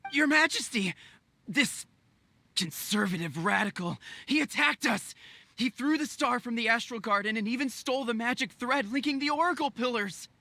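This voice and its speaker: An actor playing an earnest, excitable royal guard in a fantasy video game, deferential and dramatic. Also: medium pitch, normal speed, dry recording. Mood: alarmed, indignant.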